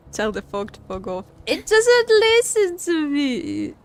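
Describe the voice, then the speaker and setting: A woman with a high, very lively voice chats to viewers while playing a game. She takes her time between phrases and sounds playful, often exclaiming and joking. Soft music plays behind her. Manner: playful, exclaiming, quick to joke. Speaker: video game livestreamer. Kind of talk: casual live gameplay chat with viewers. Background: background music.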